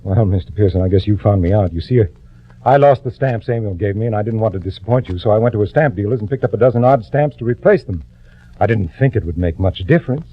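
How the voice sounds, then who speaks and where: An actor playing a meek, guilty office employee in 1940s radio drama, confiding as he narrates and halting, deferential when he speaks to others. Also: on the low side, animated, husky. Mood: nervous deference. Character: meek male office employee, secretive, guilty, nervously deceitful. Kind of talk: 1940s radio acting, first-person narration and dialogue. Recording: vintage radio recording, muffled, music bed.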